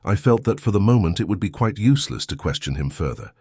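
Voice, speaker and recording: A man's voice: fairly low pitch, animated, fast. A man reading a first-person story as a scripted audiobook narration. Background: soft music.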